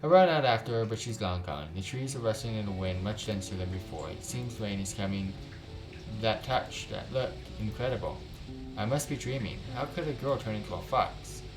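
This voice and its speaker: A man's voice: on the low side, moderate pace, over music, poor audio. A man hosting a gaming video, reading a visual novel aloud with casual reactions.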